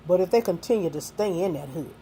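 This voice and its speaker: A woman's voice: fairly low pitch, expressive, fast, dark tone, slight background noise, low-quality recording. Earnest older woman giving folksy advice. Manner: earnest and folksy, mixing faith and street talk. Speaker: speaker giving life advice. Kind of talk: heartfelt talk to young people.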